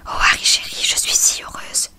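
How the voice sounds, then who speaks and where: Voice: moderate pace, bright, forceful tone. A reader as a desperate whispering teenage girl. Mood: adoring. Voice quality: breathy whisper.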